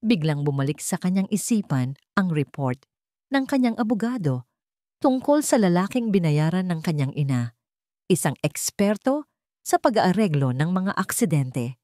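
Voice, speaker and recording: Female Filipino narrator for dramatic fiction, deliberate delivery, lively melodic range, close dry sound. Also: on the low side, no echo. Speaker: story narrator. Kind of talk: dramatic scripted narration of a Tagalog romance drama.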